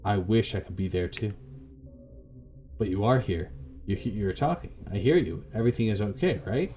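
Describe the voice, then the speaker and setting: A man's voice: on the low side, animated, normal speed, mellow, slight background noise, natural voice. A man voicing a confused, grieving elderly widow with failing memory in a visual novel, wistful and subdued. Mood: wistful, longing.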